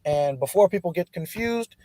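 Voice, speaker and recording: Man, stream host, fairly high voice, punchy emphatic delivery, dry close sound. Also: normal speed, no echo. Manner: energetic, emphatic, swings between loud and soft. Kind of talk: livestream podcast hosting.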